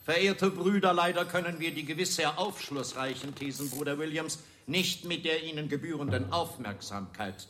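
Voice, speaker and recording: An imperious inquisitor in a radio play, with a fairly high, cutting voice. He declaims ceremoniously and coldly, over faint background noise. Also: sharp tone. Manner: declamatory, ceremonious, deliberate phrasing. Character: imperious inquisitor, formal, coldly triumphant churchman. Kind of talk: German radio drama acting.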